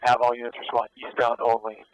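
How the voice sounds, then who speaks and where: A man's voice: mid-range voice, monotone, a little fast, smooth, dry recording, poor audio. A man speaking over police radio as an air unit officer, giving terse, directive tactical callouts. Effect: police radio transmission.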